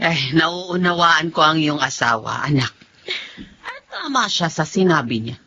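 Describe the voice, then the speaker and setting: A woman's voice: low-pitched, very animated, unhurried, bright, forceful tone, exaggerated voice. A woman with a Filipino accent playing a kindly, agreeable older mother in a radio drama. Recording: dull lo-fi audio with faint noise.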